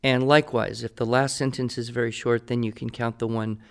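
A man's voice: mid-range voice, level tone, a little fast, vocal fry, smooth. A man talking conversationally on a podcast as a teacher, playful and didactic, explaining things step by step. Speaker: writing teacher answering listener questions.

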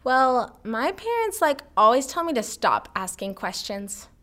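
A woman's voice: on the high side, big pitch swings, measured pace, pushed and bright, exaggerated voice. A woman playing a verbose, idealistic teenage girl student who chatters and says "like" a lot. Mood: eager. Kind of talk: amateur radio drama acting.

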